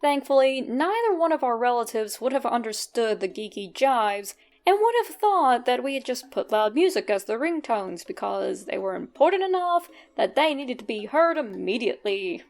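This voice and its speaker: A woman's voice: a little high, sing-song, moderate pace, few pauses. A woman narrating a scripted first-person story in a conversational way, with wryly humorous asides.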